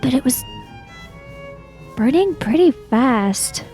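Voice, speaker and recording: A woman's voice: high voice, animated, slow, a little mellow. A woman improvising in character as a player in a tabletop roleplaying game. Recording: music bed behind the voice.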